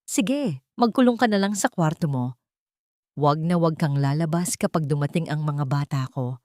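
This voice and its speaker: A woman's voice: deep voice, moderate pace, a little mellow, no echo, close to an everyday voice. A cold, scheming stepmother from an audio drama, voiced by a woman, gives curt orders full of contempt. The sound is dry and close. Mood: contemptuous, annoyed. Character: cold, greedy, menacing scheming stepmother on phone.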